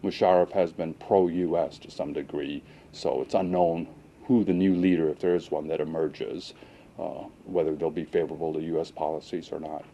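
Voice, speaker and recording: A man speaking as a police lieutenant interviewed on the news, answering in a measured, cautious, hesitant way. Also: a bass voice, steady tone, warm tone, a little hiss or hum. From Pakistan, moved to the US at age seven.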